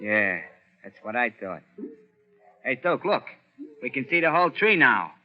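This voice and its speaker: A nervous gangster underling in an old radio thriller. He has an American accent and medium pitch, talking in plain slang and deferring to his boss. The sound is dull and dated. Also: very animated, unhurried. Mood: conspiring. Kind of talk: 1930s radio acting. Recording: muffled old 1930s radio recording.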